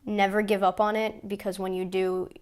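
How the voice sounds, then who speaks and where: A woman's voice: medium pitch, flat delivery, normal speed, darkish tone. An eighteen-year-old woman, a music therapy student, speaking sincerely in a documentary interview. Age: young adult.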